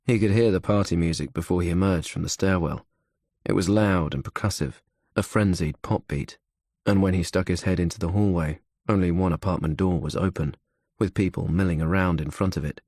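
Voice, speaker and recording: A man's voice: low voice, moderate pace, a little mellow, no echo, studio quality. A man reading scripted thriller narration as an audiobook narrator.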